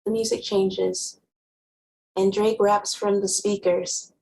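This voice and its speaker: A woman playing a wry, guarded, sharp-tongued teenage girl who narrates her own story conversationally in a dramatic novel reading. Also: mid-range voice, flat delivery, slow pace, vocal fry, a little hiss or hum, poor audio. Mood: playful. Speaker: actor.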